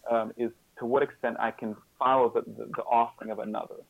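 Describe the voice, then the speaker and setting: A man's voice: medium pitch, level tone, fast talker, vocal fry, no echo, narrow, tinny audio. A man giving a reflective interview as a guest, speaking earnestly and often repeating words as he searches for phrasing. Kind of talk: reflective interview talk with personal examples.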